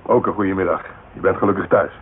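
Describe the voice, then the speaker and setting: Actor as tough guy, low male voice, sardonic, curt, domineering, radio play, tinny band-limited recording. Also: steady tone, fast talker, very noisy, close to an everyday voice. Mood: dry, mocking. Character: cool, domineering tough guy, mocking and menacing. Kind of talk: Dutch radio drama acting.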